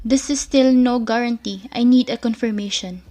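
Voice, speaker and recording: A woman's voice: on the high side, normal speed, dark tone, slight background noise, lightly acted. A young woman playing a determined student who speaks earnestly in a student radio drama. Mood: firm.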